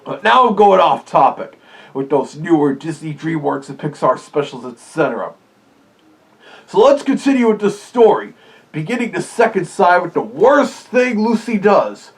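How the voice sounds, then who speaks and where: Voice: medium pitch, very animated, moderate pace, poor audio. A reviewer giving casual, scripted commentary in the character of a fuzzy cartoon animal, opinionated and prone to rambling asides. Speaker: video reviewer.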